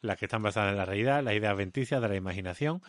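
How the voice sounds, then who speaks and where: A man's voice: lowish voice, racing, no room sound, studio quality. A man co-hosting a podcast, explaining ideas at length in a casual, playful way with fillers and restarts. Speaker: podcast co-host explaining philosophy.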